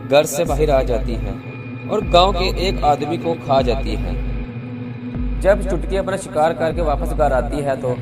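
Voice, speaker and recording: Male storyteller, slightly high pitch, horror folk-tale narration, music behind. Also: expressive, a little slow.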